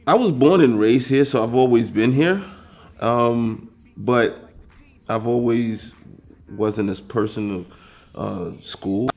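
A man's voice: medium pitch, slow pace, darkish tone, soft background music. A young man from Brooklyn, a student, talking about his plans in an interview, hesitant with many fillers. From Brooklyn, New York. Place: dark studio, indoors.

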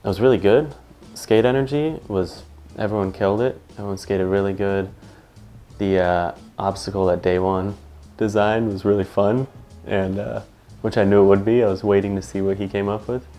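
A man's voice: lowish voice, steady tone, normal speed, mellow, faint music behind. A man in his thirties from California, answering interview questions in a plain, upbeat way on a lapel mic. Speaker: pro skateboarder.

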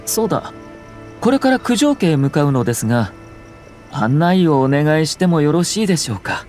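A man's voice: on the high side, sing-song, moderate pace, darkish tone, soft background music. A man playing a young noble clan lord in a video game, composed and courteous, with polite, formal phrasing and a slyly wry streak. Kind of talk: Japanese game voice acting.

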